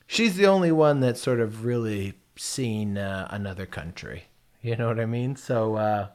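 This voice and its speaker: An American man with a noticeable lisp, talking casually to his viewers in a rambling, profane, self-mocking way. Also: lowish voice. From United States. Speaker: vlogger. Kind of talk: casual chat.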